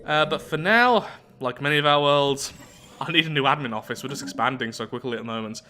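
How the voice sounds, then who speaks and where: A man's voice: mid-range voice, brisk, bright, forceful tone, slight background noise. A man hosting a game playthrough, reading event text aloud and adding casual asides as he reacts to what comes up. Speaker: gaming video host. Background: music.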